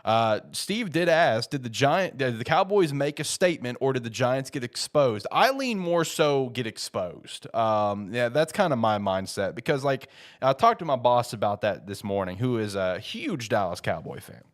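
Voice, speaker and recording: A man's voice: mid-range voice, expressive, normal speed. A man hosting a sports talk show, speaking informally and repeating himself for emphasis, slightly stuffed up with a head cold. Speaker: host of a football show. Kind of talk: casual sports talk, opinionated commentary.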